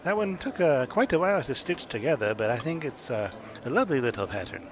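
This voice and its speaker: A man's voice: mid-range voice, big pitch swings, fast talker, noisy background, voice pushed up. A man voicing a fussy male hat shop proprietor who talks hesitantly and politely. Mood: proud.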